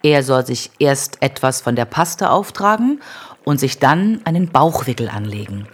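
A wise older noblewoman who heals with herbs in a radio play. Her voice is low and husky. She speaks with measured, gentle authority, instructing kindly. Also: sing-song, full character voice. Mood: calm, instructing. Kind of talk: German audio drama acting.

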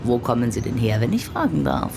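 Nosy, very deep-voiced old lady. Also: very animated, fairly quick, creaky, noisy background, full character voice. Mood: indignant, insistent. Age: older. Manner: prying, indignant, insistent. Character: nosy, pushy elderly Saxon lady train passenger. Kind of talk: scripted audio drama acting.

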